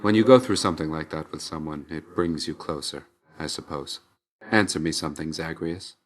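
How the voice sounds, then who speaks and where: Low voiced death god for a video game, aloof n measured, kinda quietly caring, trails off soft. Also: male voice, slight background noise. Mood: hesitant, reflective. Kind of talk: video game character voice acting.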